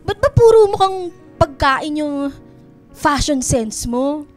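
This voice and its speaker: High girlish young filipino woman, whiny teasing bestie in a radio drama, a bit self-conscious, faint music. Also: expressive, takes it slowly. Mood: grumbling.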